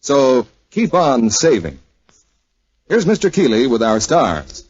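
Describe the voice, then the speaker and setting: An American man announcing on 1940s radio. His voice is slightly rough and very animated, reading scripted copy. The sound is dry and dull, like an old broadcast. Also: medium pitch, takes it slowly, no room sound.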